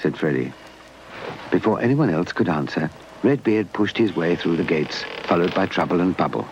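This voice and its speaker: A British man narrating a children's story in an animated, expressive storybook style. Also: deep voice, moderate pace, a little hiss or hum. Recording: dull lo-fi VHS tape transfer. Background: music.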